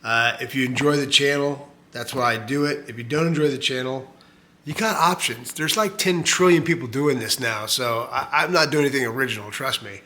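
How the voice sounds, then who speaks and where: A man's voice: medium pitch, a little fast, pushed and bright. A middle-aged man hosting a casual knife review channel, rambling and self-mocking, full of fillers and jokes.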